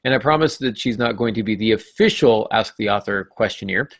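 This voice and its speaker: A man's voice: fairly low pitch, a little fast, no echo, studio quality. A middle-aged man hosting a podcast in a casual, joking, tongue-in-cheek way. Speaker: podcast host.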